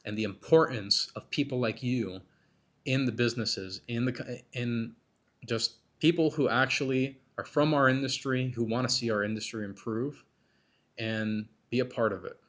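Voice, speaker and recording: A man hosting a podcast, talking casually and a bit self-critically with lots of restarts, his voice worn and hoarse from being sick. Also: mid-range voice, fairly even intonation, normal speed, a bit bright. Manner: rambling, self-critical, many restarts and fillers. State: losing his voice, getting over being sick. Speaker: podcast host. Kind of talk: casual solo commentary between interview segments.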